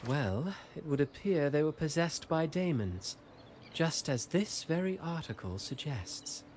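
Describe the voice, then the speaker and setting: Smooth formal fantasy game gent, voice a little high, bit of background noise. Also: male voice, animated, measured pace, a little mellow. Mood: insinuating. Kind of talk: video game voice acting.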